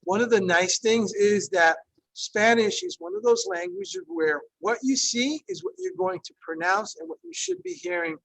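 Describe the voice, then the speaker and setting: A man's voice: high-pitched, expressive, moderate pace, creaky, a bit bright, dry recording, rough recording. A man in his fifties from Ecuador, a Spanish teacher leading an online workshop in a conversational way, with many fillers and frequent check-ins. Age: 50s. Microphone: video call.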